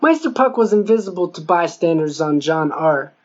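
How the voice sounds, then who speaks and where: A man's voice: on the high side, lively intonation, moderate pace. Male audiobook narrator, slightly dull sound. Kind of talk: scripted narration of a comic novel.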